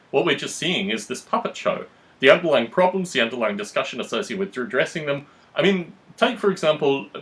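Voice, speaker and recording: A man giving opinionated political talk as a podcast host, in a deliberate, wordy manner with formal phrasing. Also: medium pitch, fairly bright tone, rough recording.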